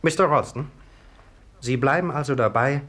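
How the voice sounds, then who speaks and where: An actor in a 1950s radio play playing a brisk, stern police sergeant with an official, insistent manner. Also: male voice, medium pitch, fairly bright tone, close to an everyday voice. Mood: factual, grim.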